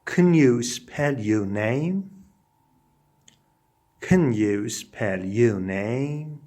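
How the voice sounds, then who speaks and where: Male language teacher for phrase drills, extremely slow delivery, lively intonation, deliberate and separated phrasing. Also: mid-range voice. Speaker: English teacher. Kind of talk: English lesson, reading short phrases aloud with repetition.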